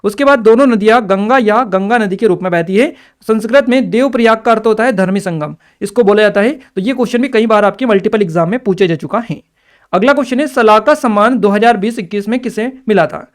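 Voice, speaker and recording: A man's voice: sits high, fast talker. A man explaining exam questions step by step as a teacher, addressing students directly and checking they follow. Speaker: exam-prep teacher and channel presenter.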